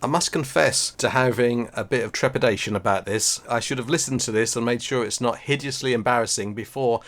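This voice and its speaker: A man's voice: medium pitch, a little fast, fairly bright tone. A middle-aged man from Suffolk with an English accent, giving casual reaction commentary as a YouTube host. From Suffolk, England.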